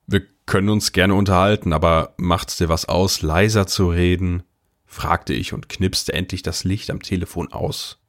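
A man's voice: sits low, steady tone, moderate pace. A man playing a wry, unflappable older brother, narrating his story in a dry, understated way. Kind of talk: scripted first-person narration.

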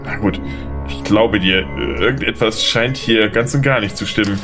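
A man's voice: fairly low pitch, moderate pace, music in the background, lightly acted. A man playing a bewildered, anxious lawyer who doubts his own mind, in a questioning, unsettled way, in an audio drama. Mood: bewildered, urgent. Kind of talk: German audio drama acting.

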